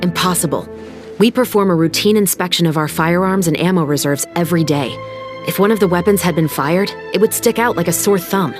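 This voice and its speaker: A woman plays a young female musketeer officer in a game, with a lowish voice and a measured, formal delivery. She sounds composed and earnest, with music playing behind her. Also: close to an everyday voice. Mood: earnest, serious. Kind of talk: dramatic game voice acting.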